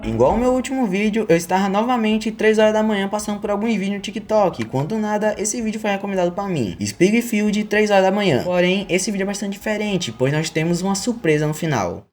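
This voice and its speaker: A man's voice: sits high, animated, fairly quick, hardly any pauses, a little mellow, faint music behind. A man with a Brazilian Portuguese accent narrating a scripted story for an online video, with informal, joking asides.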